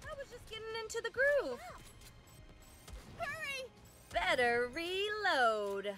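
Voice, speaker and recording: Excitable game heroine, very high bright voice, swooping, boastful, theatrical. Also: female voice, slow, exaggerated voice. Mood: confident, excited. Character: cocky, excitable young woman fighter. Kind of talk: video game battle lines. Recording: game audio with music behind.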